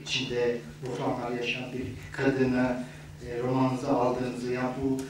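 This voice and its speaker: A man in the audience asks a question, hesitating constantly and filling his pauses with drawn-out sounds. His pitch is moderate. He is recorded from far off in a very echoey room with clear background noise. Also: completely flat delivery. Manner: hesitant, full of filler sounds. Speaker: audience member asking a question.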